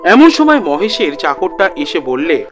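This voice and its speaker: A man's voice: on the high side, very animated, measured pace. A man narrating a scripted literary audio story as the storyteller. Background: background music.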